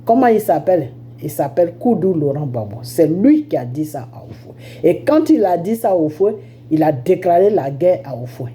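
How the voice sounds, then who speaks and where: A woman's voice: medium pitch, dark tone. A woman with an Ivorian accent and a head cold, giving an informal political monologue as a storyteller who punctuates it with rhetorical questions and check-ins. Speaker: online political commentator.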